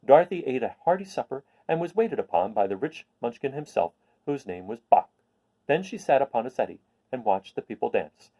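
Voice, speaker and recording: A man reading a storybook aloud as a host, in an expressive, explanatory manner. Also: mid-range voice, a little fast, muffled recording. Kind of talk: expressive storybook read-aloud with informal introduction.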